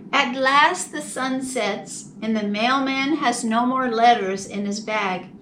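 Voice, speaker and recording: A woman reading a picture book to young children in a slow, animated, deliberate storytime style. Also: fairly high pitch. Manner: deliberate, animated, drawn-out phrasing. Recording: distant mic, faint background noise.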